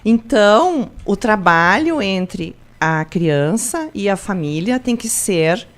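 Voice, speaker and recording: A woman's voice: mid-range voice, animated, slow pace. Woman from Brazil, school principal, interview answers, explanatory, tag questions, restarts. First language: Portuguese. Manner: explanatory, frequent "né?" tags and restarts.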